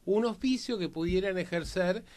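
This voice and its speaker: Higher-voiced Argentine man explaining emphatically. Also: normal speed, a little mellow. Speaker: panelist on a history and politics programme. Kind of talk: historical discussion, explanatory.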